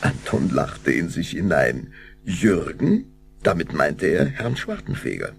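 A male narrator reads a scripted children's audio drama. His voice is very deep and gravelly, with richly varied intonation. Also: very animated, slow pace, slight background noise.

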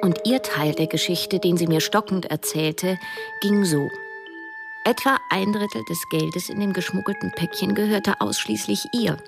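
A woman's voice: mid-range voice, normal speed, vocal fry, music in the background, close to an everyday voice. An actress playing a young woman who narrates a radio drama, probing and direct with a wry edge. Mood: reflective narration. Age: young adult. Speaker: first-person narrator.

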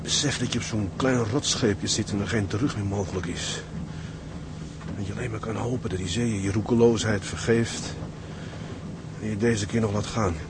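A man acting a homesick young ship's mate in a Dutch radio drama, gloomy, frightened and halting. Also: lowish voice, steady tone, noisy background, close to an everyday voice. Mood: fearful, downcast.

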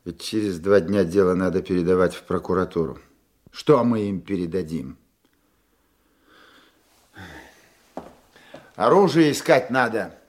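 An actor playing a calm, seasoned chief of police investigators in a Russian radio drama, measured, questioning and dryly authoritative. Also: male voice, low voice. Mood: firm, businesslike.

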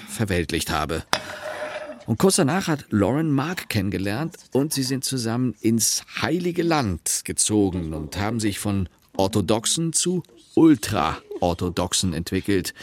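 A man playing a middle-aged, wry, sardonic husband and father who narrates a radio play in a conversational way. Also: fairly low pitch. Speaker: narrator and character.

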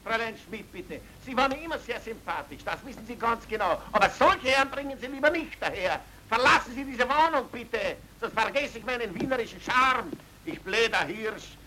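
An actor playing a nervous, evasive, blustering Viennese witness in an old German radio play, speaking agitated, repetitive colloquial dialect. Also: male voice, very high voice, animated, a little fast, few pauses, pushed and bright, exaggerated voice. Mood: indignant, blustering. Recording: faintly noisy old radio drama recording.

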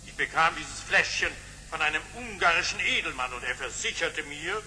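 An actor playing a haughty, jovial, doubting sultan in a radio play, with grand, drawn-out, theatrical delivery. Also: male voice, on the high side, animated, pushed and bright. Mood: incredulous. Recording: long reverberant hall, faint noise.

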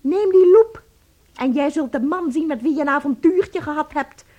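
An actress in a Dutch radio play playing a jealous, wounded and possessive wife, dramatic and commanding. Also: female voice, high voice, normal speed, warm tone, lightly acted. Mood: angry, commanding. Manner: dramatic, commanding, emotionally volatile. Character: jealous, wounded wife fighting possessively over her tiny husband.

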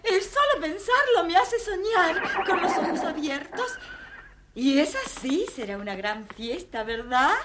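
An actress playing a vain, dazzled young maiden who dreams of riches, in a theatrical children's storybook style. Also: female voice, extremely high pitch, animated, a little slow, very noisy. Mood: dazzled, dreamy. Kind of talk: children's audio storybook acting.

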